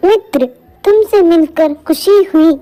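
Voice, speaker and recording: A woman's voice: extremely high pitch, a little slow, warm tone, soft background music, pitched above the performer's own voice. A woman voicing a naive, trusting female cow, earnest and gullible, in a storybook fable. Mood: friendly. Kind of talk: storybook animal fable dialogue.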